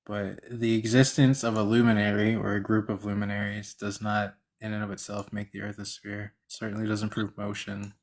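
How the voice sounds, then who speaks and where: Low-voiced man in casual debate. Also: little pitch movement, a little fast, few pauses. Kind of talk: casual live-stream debate chat.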